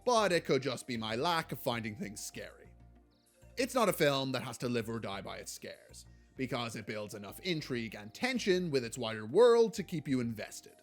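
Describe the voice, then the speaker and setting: A man giving a scripted video essay review as a film critic, in a measured, articulate and analytical way. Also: medium pitch, very animated. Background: background music.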